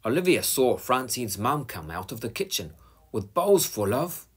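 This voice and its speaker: A man reading a children's picture book aloud, slow and expressive. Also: mid-range voice, husky. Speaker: storybook reader.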